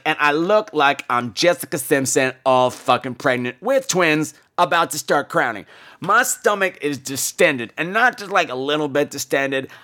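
A man's voice: on the high side, bright, forceful tone. An American man hosting a podcast, telling comic personal stories in a campy, theatrical and bluntly profane way. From United States. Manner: theatrical, campy, exaggerated delivery. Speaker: podcast host. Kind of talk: comedic personal storytelling monologue.

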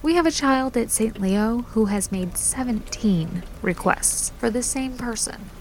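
A woman playing a gravelly, serious briefer. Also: on the high side, a little slow, darkish tone, a little hiss or hum. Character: serious woman giving hero intel briefings. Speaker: briefing officer Angie.

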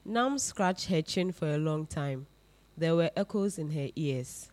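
Woman narrating a novel out loud, voice a bit on the low side. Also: lively intonation, unhurried. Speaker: reader. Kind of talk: scripted literary narration, reading a story aloud.

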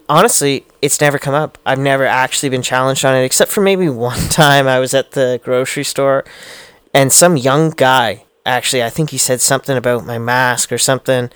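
A man with a smooth, resonant mid-range voice, talking earnestly and thoughtfully with an easy laugh. The sound is dry and close. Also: medium pitch, fairly bright tone, dry recording. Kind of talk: casual chat.

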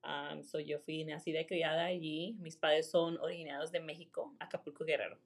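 A woman from Rhode Island, a real estate agent, chatting in a relaxed interview and dropping English fillers between her sentences. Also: sits low, moderate pace. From Rhode Island, USA. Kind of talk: casual interview.

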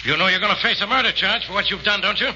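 An actor playing a brusque, hard-bitten police inspector in a 1940s radio drama, gruff and commanding as he interrogates. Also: high-pitched, racing, sharp tone. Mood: pressing, stern. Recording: noisy muffled old radio broadcast.

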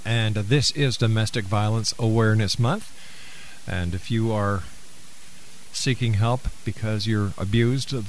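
A man hosting a radio talk show, earnest and a bit halting, with fillers between phrases. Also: fairly low pitch, a little hiss or hum.

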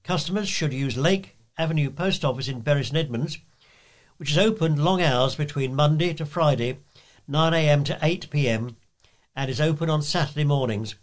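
A man's voice: on the high side, normal speed. A man reading scripted local news items aloud as a volunteer news reader.

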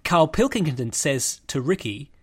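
A man co-hosting a casual podcast chat, swearing freely and telling stories with animated quoted voices. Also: on the high side, breathy edge. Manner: swearing, quick to react, animated storytelling.

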